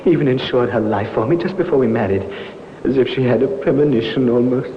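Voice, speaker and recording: An actor playing a smooth-talking, scheming bridegroom who feigns devotion, solicitous and theatrical, in old-time radio drama style. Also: male voice, mid-range voice, fast, close to an everyday voice. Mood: mournful. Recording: muffled, noisy vintage radio recording.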